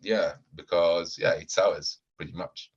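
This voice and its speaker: Low-voiced man with many fillers, lo-fi. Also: steady tone, measured pace, slightly rough. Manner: hesitant, many fillers and repeated words. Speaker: supporters club founder, guest. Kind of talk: casual podcast chat. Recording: dull lo-fi audio.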